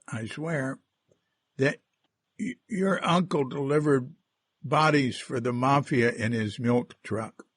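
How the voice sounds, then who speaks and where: A man interviewing a guest, asking slow, gentle questions. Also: mid-range voice, husky, dry recording, clean studio sound. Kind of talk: casual interview chat.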